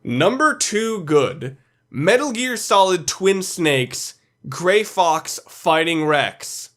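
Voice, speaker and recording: A man's voice: high voice, big pitch swings, takes it slowly, a bit bright. A man narrating a countdown video as its reviewer, delivering opinionated scripted commentary in a blunt, sweary, emphatic way.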